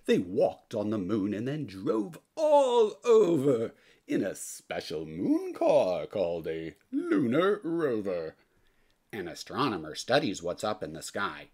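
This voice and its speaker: A reader giving an expressive, theatrical read-aloud of a rhyming children's picture book in a sing-song way. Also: medium pitch, slow, breathy edge. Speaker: storybook reader.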